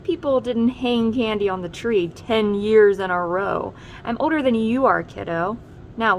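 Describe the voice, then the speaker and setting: A woman's voice: fairly high pitch, a little hiss or hum, low-quality recording. A woman voicing a grumpy, weary old candy ornament with a sarcastic, put-upon manner, as in a storybook.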